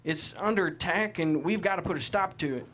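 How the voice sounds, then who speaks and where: An actor playing a proud, defiant Texas cattle rancher with blunt, folksy, cussing talk in a script table read. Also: male voice, sits high, fairly quick, a bit bright, slight background noise. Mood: defiant, resolute.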